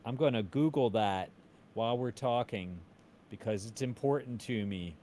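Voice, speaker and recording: A man's voice: medium pitch, normal speed, mellow, a little hiss or hum. A middle-aged man chatting casually on a group stream, thinking aloud as he tries to recall things. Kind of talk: casual chat.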